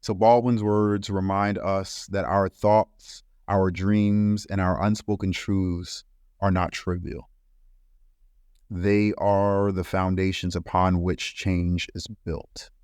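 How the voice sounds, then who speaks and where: A man from Brooklyn, a podcast co-host reading a solemn, deliberate reflection. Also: sits low, level tone, darkish tone, clear, resonant tone, no room sound, studio quality. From Brooklyn, New York. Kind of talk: scripted reflective monologue.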